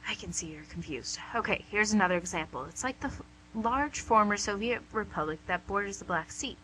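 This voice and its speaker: Woman for suave devil boss lady, radio comedy. Bright, crisp condescension, pedantic, slightly noisy recording with hum. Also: mid-range voice, lively intonation, a little fast, natural voice. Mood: pedantic, scolding. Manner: pedantic, condescending, mock-polite. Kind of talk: amateur radio comedy acting.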